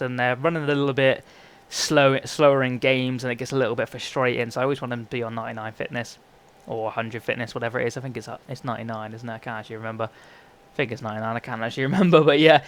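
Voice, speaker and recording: A young man with a congested, phlegmy voice, casually commentating his own football gameplay, rambling and often correcting himself. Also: mid-range voice. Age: young adult. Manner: chatty, rambling, frequent self-corrections. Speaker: gaming YouTuber.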